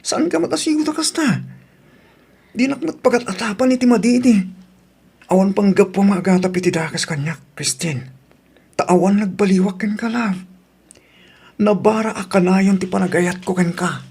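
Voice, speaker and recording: A man acting as a devoted, defensive young boyfriend with a creaky voice. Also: high-pitched, moderate pace, pauses often, a little mellow, heavily acted. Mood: defensive, pleading. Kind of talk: Ilocano audio drama acting.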